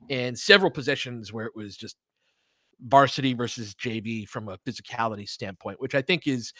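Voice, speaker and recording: A man's voice: mid-range voice, sharp tone, no room sound. American man, dry close sound, casual basketball analysis. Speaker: sports show host and game commentator. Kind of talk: casual live basketball analysis, chatting with listeners.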